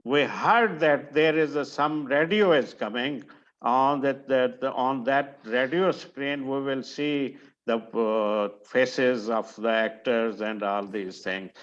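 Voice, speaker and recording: An older man from Pakistan, a retired museum director, introducing himself slowly on a video call with many pauses and fillers. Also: mid-range voice, a bit bright. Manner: slow and halting, many fillers and restarts. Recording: muffled video call audio.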